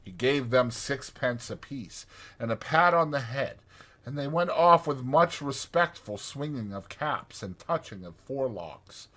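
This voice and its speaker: Male audiobook narrator, scripted storybook reading, forceful and clear-edged, lively intonation. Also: mid-range voice, unhurried.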